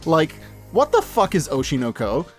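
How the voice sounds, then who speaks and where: A man's voice: a little high, wildly swooping pitch, normal speed, soft background music. A man hosting a scripted media review, speaking casually and emphatically with enthusiasm and salty language. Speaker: host of a media review channel.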